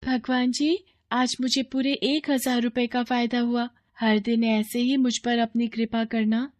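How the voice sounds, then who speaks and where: A woman's voice: a little high, level tone, a little slow, pitched above the performer's own voice, close to an everyday voice. A woman voicing a poor, hard-working orphan girl in a cartoon story, earnest and pleading. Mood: grateful. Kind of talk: Hindi moral cartoon story acting.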